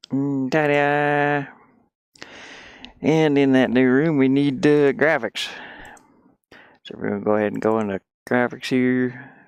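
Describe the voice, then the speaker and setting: A man casually thinking out loud in an offhand, muttering way as he works through game development on screen. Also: mid-range voice, gravelly, no echo. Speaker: indie game developer, streamer. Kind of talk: casual think-aloud screen-share commentary while working.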